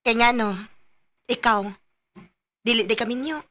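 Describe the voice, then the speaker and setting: Radio drama role: nosy Cebuano Filipino female confidante, high voice, teasing matchmaker manner. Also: unhurried, bright, forceful tone. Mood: teasing, prying. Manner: teasing, prodding. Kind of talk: radio drama acting in Bisaya.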